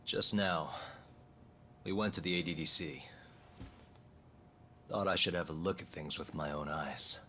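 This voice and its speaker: A man's voice: very deep voice, measured pace, long pauses, sharp tone, a little hiss or hum, full character voice. A man playing a cool, determined young defense lawyer in a video game, plain-spoken and earnest. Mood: earnest resolve. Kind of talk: video game cutscene acting and narration. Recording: music behind the voice. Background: music.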